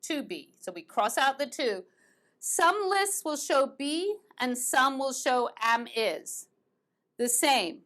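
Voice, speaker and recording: A woman with a slightly high voice teaches a grammar lesson at an unhurried pace. She repeats each verb form slowly and patiently for her students. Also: expressive, fairly bright tone. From United States and England. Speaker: English teacher.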